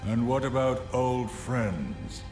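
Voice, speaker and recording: An actor playing a shrewd, grave old lord in a fantasy game, giving warnings in a deliberate, portentous manner. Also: male voice, mid-range voice, lively intonation. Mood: conspiratorial. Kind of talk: dramatic fantasy game acting. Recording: roomy echo, music behind.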